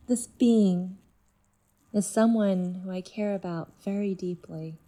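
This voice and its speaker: A woman's voice: mid-range voice, dark tone. A woman playing a manipulative alien creature in a human body, coaxing and controlling, in an audio drama. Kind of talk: fiction podcast audio drama acting.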